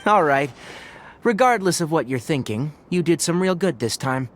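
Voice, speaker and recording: A man's voice: on the high side, expressive, moderate pace, close to an everyday voice. A man voicing a young forest healer in a video game, clinical, matter-of-fact and dryly kind, with thoughtful hums. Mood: wry, fond.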